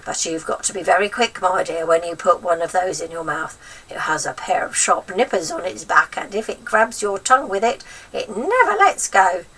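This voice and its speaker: A woman's voice: lowish voice, lively intonation, moderate pace, a bit bright, distant mic, rough recording. A woman voicing a sinister, gloating old grandmother in a storybook, drawing out nasty words with menacing relish. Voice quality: throaty, breathy whisper at times.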